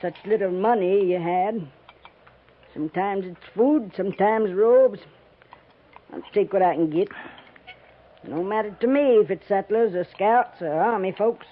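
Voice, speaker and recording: An American actress playing a tough, grasping old frontier widow, curt, scolding and folksy, in a 1950s radio western. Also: female voice, mid-range voice, unhurried, long pauses, natural voice. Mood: gruff, matter-of-fact. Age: older. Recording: tinny, narrow-band 1950s radio recording.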